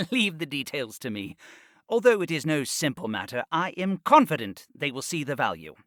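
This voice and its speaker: A man's voice: on the high side, big pitch swings, moderate pace, a bit bright, no room sound, clean studio sound. An actor playing a proud, pleading nobleman in his fifties in a fantasy audiobook, formal and deferential yet insistent. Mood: confident. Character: proud, pleading fifty-six-year-old nobleman schemer. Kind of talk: full-cast fantasy audiobook acting.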